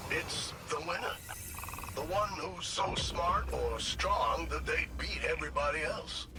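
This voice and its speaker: An actor playing a small machine companion in a video game, a misfit devotee who muses in a plain, confiding way. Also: male voice, on the high side, expressive, unhurried. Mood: musing. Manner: musing, plain-spoken, confiding. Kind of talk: video game character monologue. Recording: loud ambient noise behind the voice. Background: loud ambience.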